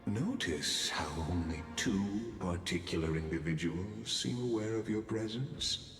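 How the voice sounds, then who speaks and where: An actor voicing a solemn, cryptic male guide of fate in a game cutscene, speaking in measured riddles. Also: lowish voice, a bit bright. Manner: slow, measured, riddling delivery. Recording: roomy reverb, faint game music.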